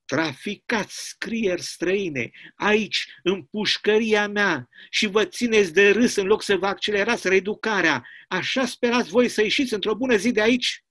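A suspicious communist prison governor, played by a man reading a play aloud interrogates his prisoners. The voice is pitched high and emphatic, bullying and heavy with sarcasm. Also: fairly bright tone. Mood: accusing. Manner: barking, interrogating, drawn-out emphatic questions.

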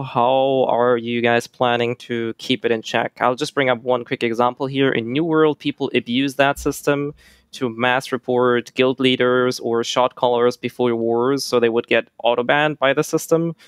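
A man's voice: medium pitch, clear, resonant tone, dry recording. A man joining a livestream panel, laying out a detailed question with a concrete example. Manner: explains at length, uses examples. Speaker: panel guest.

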